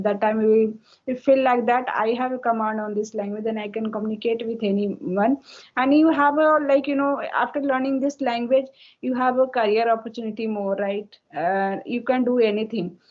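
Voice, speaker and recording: A woman in her thirties from India, a native Hindi speaker with an Indian English accent, answering questions in a halting practice conversation full of fillers over an online call. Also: a little high, fairly even intonation. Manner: halting, many fillers and restarts. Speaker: English learner, homemaker. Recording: dull lo-fi call audio.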